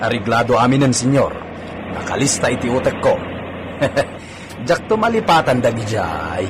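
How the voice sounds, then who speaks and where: A man's voice: medium pitch, sing-song, normal speed, noisy background, lightly acted. A man playing a cheerfully deferential, folksy servant in an Ilocano radio comedy-drama, colloquial and reassuring. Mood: eager, reassuring.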